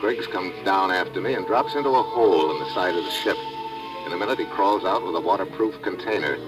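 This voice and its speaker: A man's voice: sits low, animated, fairly quick, poor audio. A man acting a tough, wary undercover diver in a vintage radio drama, speaking and narrating in terse, colloquial style. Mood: grim narration. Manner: terse, hard-boiled, colloquial. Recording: vintage radio recording with background music.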